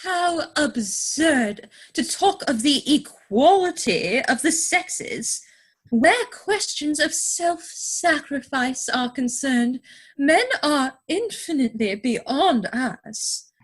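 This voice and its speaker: Woman playing a high-voiced, poised society young lady. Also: expressive, slow pace. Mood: solemn. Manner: affected, grandly earnest. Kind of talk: dramatic reading of a period stage comedy.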